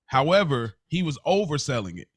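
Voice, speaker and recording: A man's voice: fairly high pitch, sing-song, brisk, no room sound, studio quality. An American man co-hosting a podcast, debating casually in a slangy, conversational way and often interjecting. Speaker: podcast co-host. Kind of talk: casual debate between friends.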